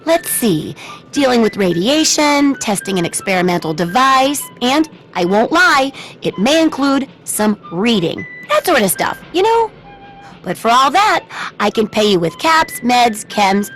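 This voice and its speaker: Perky, high-pitched eccentric wasteland woman. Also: big pitch swings, moderate pace, faint music behind. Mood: chirpy, eager. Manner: perky, chatty, singsong questioning tags. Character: cheerful eccentric wasteland shopkeeper and amateur researcher, young woman. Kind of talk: video game dialogue.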